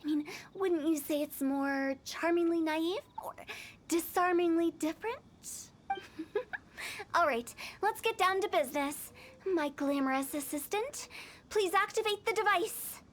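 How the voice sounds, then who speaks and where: A woman's voice: extremely high pitch, measured pace, a little hiss or hum. A woman voicing an eccentric young funeral parlor director in a video game, speaking earnestly and then teasingly. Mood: playful. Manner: explains solemnly, then turns teasing.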